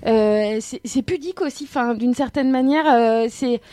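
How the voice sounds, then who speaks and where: A woman's voice: fairly high pitch, moderate pace, dark tone, dry recording. A woman co-hosting a podcast, chatting casually with many hesitations and fillers. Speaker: podcast co-host. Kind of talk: casual chat.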